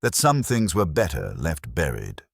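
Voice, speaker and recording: A man's voice: a bass voice, huge pitch swings, a little slow, creaky, slightly rough, no room sound, clean studio sound, exaggerated voice. A man voicing a worried, protective husband with a tight, concerned manner in a mystery story. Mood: uneasy. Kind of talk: scripted mystery story dialogue.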